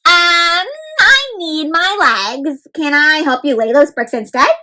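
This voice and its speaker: Woman voicing an eager, high-pitched storybook robot. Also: expressive, takes it slowly, heavy background noise. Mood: negotiating.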